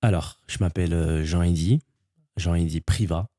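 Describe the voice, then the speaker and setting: A man's voice: low-pitched, moderate pace, dark tone, dry recording, studio quality. A young man from French Guiana, a podcast guest talking casually and hesitantly with many fillers. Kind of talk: casual interview chat.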